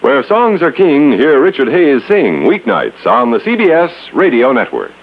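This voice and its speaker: A man's voice: fairly high pitch, wildly swooping pitch, normal speed. A man announcing in polished, emphatic 1960s network radio style. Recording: tinny old broadcast recording.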